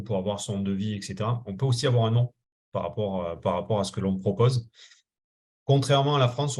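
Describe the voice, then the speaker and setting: Low-voiced man moderating a panel. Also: darkish tone. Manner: joking, hesitant. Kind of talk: webinar panel talk.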